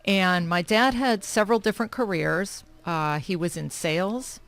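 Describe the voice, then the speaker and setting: A woman's voice: fairly low pitch, normal speed, a bit bright. A woman whose first language is German, telling her family history in a radio interview with short, matter-of-fact answers. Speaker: nonprofit founder, interview guest. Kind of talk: radio interview, personal life story.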